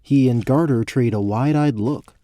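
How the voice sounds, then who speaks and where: A man's voice: medium pitch, normal speed, mellow, no echo. A man narrating a scripted fantasy story as a storyteller.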